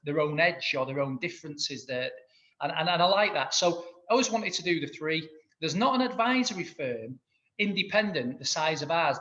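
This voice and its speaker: Mancunian accountant guest, higher-pitched male, keen and rambling, muffled sound. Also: pushed and bright. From Manchester. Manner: enthusiastic, rambling with many restarts. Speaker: guest, accountant and business owner. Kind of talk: interview answers.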